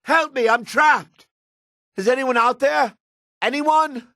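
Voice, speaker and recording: An actor playing a male dark elf townsman in a fantasy video game, with a raspy voice, gruff and theatrical. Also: very high-pitched, slow pace, dry recording, clean studio sound, exaggerated voice. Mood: panicked, pleading. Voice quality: raspy, husky.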